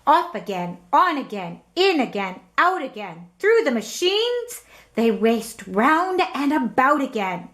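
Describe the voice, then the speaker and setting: A woman narrates a children's storybook with dramatic, emphatic expression. Her high voice moves widely in pitch, and she takes her time over the lines. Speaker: storybook reader.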